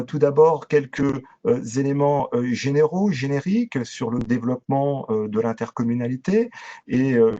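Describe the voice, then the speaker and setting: The sound is slightly dull, like a video conference. A man with a medium-pitched voice presents training material in a formal, methodical way. He halts often, stretching filler sounds as he works through each explanation. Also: a little mellow. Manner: very hesitant, halting delivery with constant drawn-out filler sounds. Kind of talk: explanatory online presentation to local councillors. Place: video conference with shared screen. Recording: dull, lo-fi video-call audio.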